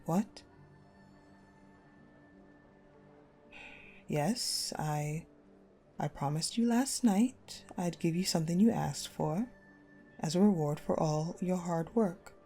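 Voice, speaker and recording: A woman playing a cold, possessive ice-queen general in a dark romance audio roleplay, commanding and menacing. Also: low voice, faint music behind. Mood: reluctant, disappointed. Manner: commanding, menacing, slow and deliberate, dropping to hushed.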